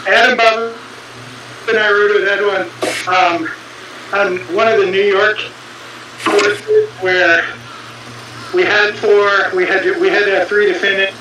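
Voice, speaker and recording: A man's voice: sits high, lively intonation, unhurried, pauses often, heavy reverb, distant mic, noisy background. A man of about fifty chatting enthusiastically and joking with fellow cyclists. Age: 50s. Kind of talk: casual chat.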